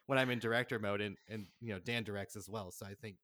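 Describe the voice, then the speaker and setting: Guy with a lowish voice telling stories on a podcast, animated and chatty, dry close sound. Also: fast talker, no room sound. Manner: animated, chuckles through anecdotes, frequent "like". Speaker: podcast guest, audio drama writer-director. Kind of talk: casual chat, storytelling.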